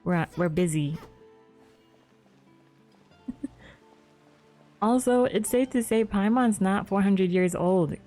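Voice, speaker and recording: A woman's voice: mid-range voice, takes it slowly, pauses often, mellow. A young woman giving casual live commentary as a game streamer, musing in an informal, rambling way. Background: faint game music.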